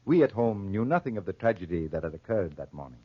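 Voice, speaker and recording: Muffled old radio drama recording of a proud, grave farmer father. His low voice swings expressively and carries a husky edge as he tells his story with deliberate weight. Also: big pitch swings, no room sound. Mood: grave narration. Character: proud, honor-bound Corsican farmer father, narrating his tragedy. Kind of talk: 1940s radio drama acting.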